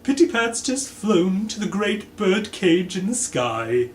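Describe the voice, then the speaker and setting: A man's voice: high-pitched, big pitch swings, slow pace, pitched above the performer's own voice, full character voice. A man voicing a plump, prim society lady, fussy and easily outraged, in a storybook reading. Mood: consoling. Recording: echoey room, faint background noise.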